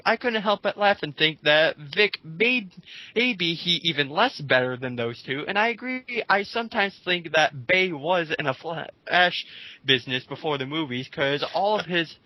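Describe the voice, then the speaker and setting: A man co-hosting a casual group commentary, reading comments aloud and haltingly restarting his sentences. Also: on the high side, no room sound, dull audio. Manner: halting, with repeated false starts and filler phrases.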